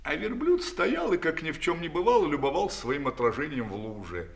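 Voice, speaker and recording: A man narrating a children's fairy tale in an expressive, theatrical storyteller's manner. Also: a little high, a little fast, creaky. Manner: expressive, dramatic delivery.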